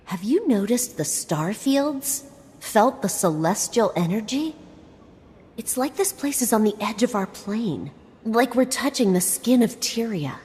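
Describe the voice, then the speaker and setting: A woman's voice: on the high side, sing-song, unhurried, darkish tone. An actress playing a curious, bookish, uneasy woman explorer in a video game, with a wry, chatty manner. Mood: uneasy awe. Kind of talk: video game character dialogue. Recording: long echoing reverb.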